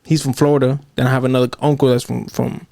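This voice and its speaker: Joking podcast host with a mixed accent. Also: male voice, mid-range voice, flat delivery, fast, gravelly, dark tone, dry recording, studio quality. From California. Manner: joking, profane, repeats "okay, okay". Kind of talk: casual chat.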